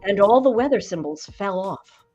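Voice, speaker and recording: Woman in her sixties, measured coaching tone. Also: mid-range voice, lively intonation, dark tone, highs cut off. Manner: measured, emphatic, tells anecdotes. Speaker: voice coach, former TV newswoman. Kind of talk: conversational interview storytelling.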